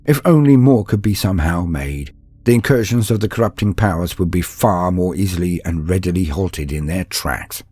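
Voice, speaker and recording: A man's voice: low voice, moderate pace, darkish tone, slightly rough. A man narrating scripted lore and stories as a channel host, in a formal, grandiose, theatrical manner.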